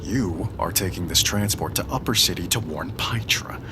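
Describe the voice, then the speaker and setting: A deep-voiced, guarded leader of an underground resistance, acted by a man in an audio drama. He speaks in curt, grave phrases over clearly audible vehicle noise. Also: moderate pace, close to an everyday voice. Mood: commanding. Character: grave, secretive male resistance network leader.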